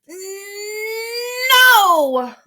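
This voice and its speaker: A defiant little storybook boy, played by a woman, very high and bright. He digs in with whiny, stretched-out refusals. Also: big pitch swings, very slow, exaggerated voice.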